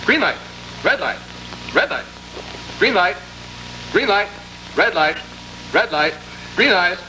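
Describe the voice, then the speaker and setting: A man's voice: high-pitched, big pitch swings, slow, sharp tone. A man playfully calling a game as announcer on a vintage children's show. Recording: noisy old broadcast archive.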